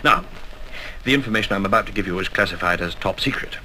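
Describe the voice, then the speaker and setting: A genteel, commanding colonel from a 1960s radio drama. His voice sits fairly low with a bright, forward edge. He talks formally and courteously, as if giving orders politely, over faint old-record noise. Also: low-quality recording. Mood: grave briefing. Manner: brisk, formal, courteous briefing. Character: genteel, commanding older intelligence colonel, male, dryly courteous.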